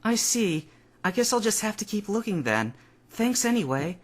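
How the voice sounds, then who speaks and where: A man voicing a defiant, hurt young woman in a high, tense, pleading voice. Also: sing-song, normal speed. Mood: distant. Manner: raised pitch, tense and pleading.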